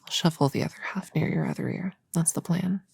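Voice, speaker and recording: A woman playing a friendly, slightly bumbling novice witch in a soft-spoken ASMR roleplay, breathy and often whispering, with a hesitant, casual way of talking. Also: sits low, fast, exaggerated voice. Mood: musing, casual. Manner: hesitant, casual, self-correcting.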